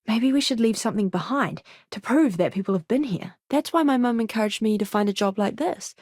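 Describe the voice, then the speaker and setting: A woman voicing an earnest, eager young ship's doctor in a video game, with a polite, expressive manner. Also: fairly high pitch, brisk, no room sound, studio quality. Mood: eager, friendly. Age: young adult. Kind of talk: game character voice lines.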